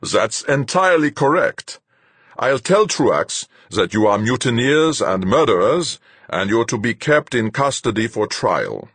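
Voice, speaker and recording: A man's voice: mid-range voice, very animated, unhurried, fairly bright tone, husky, dry recording, pitched above the performer's own voice, full character voice. A man voicing a cold, commanding male ship captain who snaps clipped, menacing orders.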